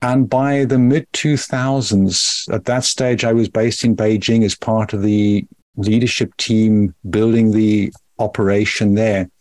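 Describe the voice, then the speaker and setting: A middle-aged man from South Africa, a podcast guest who explains his path in a measured, thoughtful way. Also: on the low side, fairly even intonation, a little mellow, dry recording. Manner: measured, thoughtful, careful phrasing. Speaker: guest, company founder. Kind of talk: podcast interview.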